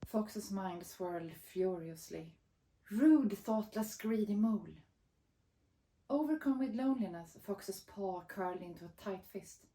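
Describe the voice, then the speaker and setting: Woman, soft dark tone, storybook narrator, expressive, roomy echo. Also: medium pitch, measured pace. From Sweden. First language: Swedish. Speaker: author reading her picture book.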